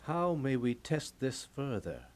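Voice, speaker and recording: A man's voice: mid-range voice, big pitch swings, measured pace, a little mellow. A man playing a stately, concerned, scheming king, formal and regal, in a theatrical Shakespeare puppet show. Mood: probing.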